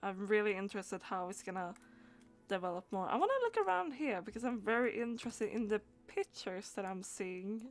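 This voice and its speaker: A woman's voice: mid-range voice, lively intonation, brisk, faint music behind. A woman doing casual live gaming stream commentary, thinking aloud hesitantly and letting phrases trail off.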